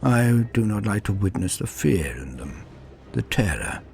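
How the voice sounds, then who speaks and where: A man plays a hulking mad scientist from a horror storybook, with a very deep, creaky voice. He is pompous and condescending, savoring his menace in wide, swooping phrases. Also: big pitch swings, unhurried, darkish tone, soft background music, heavily acted. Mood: arrogant, gloating. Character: huge, bald, sinister mad scientist, condescending and grandiose. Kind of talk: dramatic horror storybook acting.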